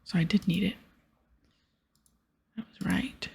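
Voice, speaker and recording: Female ASMR gaming host, very breathy and whispery with some creak, soft reading over music. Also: mid-range voice, slow pace. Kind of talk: ASMR game playthrough, reading dialogue aloud.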